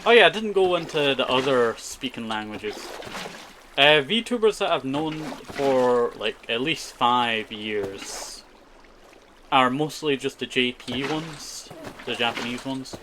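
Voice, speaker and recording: A man's voice: medium pitch, expressive, slow pace, background noise. A man who is a native Scottish Gaelic speaker from Scotland, giving chatty, rambling live gaming commentary and often repeating short phrases. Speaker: streamer.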